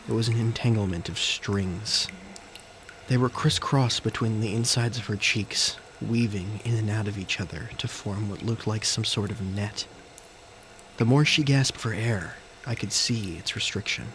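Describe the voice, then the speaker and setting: A man giving scripted first-person dramatic narration in deliberate, short phrases. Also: fairly low pitch. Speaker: narrator and character of an audio story. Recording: faint background noise and ambience.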